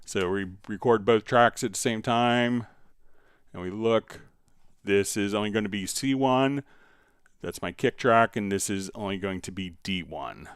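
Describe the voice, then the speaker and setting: A man hosting a live stream, casually explaining software step by step while he demonstrates it. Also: on the low side, unhurried, clean tone, no echo.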